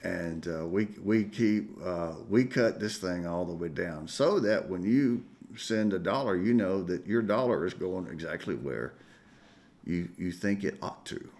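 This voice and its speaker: A male pastor making folksy, conversational announcements to his congregation, with frequent pauses and fillers. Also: sits low, expressive, a little mellow. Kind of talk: church announcements. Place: church sanctuary.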